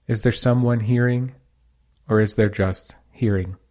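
A man leading a guided meditation as a teacher, giving calm, measured, gentle instructions. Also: lowish voice.

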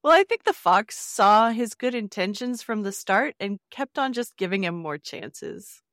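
Female podcast co-host, medium pitch, playful conversational delivery, dry close sound. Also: lively intonation, measured pace, a bit bright, no room sound. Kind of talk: casual banter.

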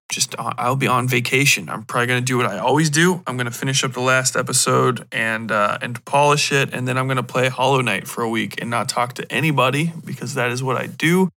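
A man with a bright, forward voice hosts a podcast alone. He talks casually and rambles, drifting into digressions with a self-deprecating touch. His pitch sits in the middle for a man. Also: vocal fry. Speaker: podcast host.

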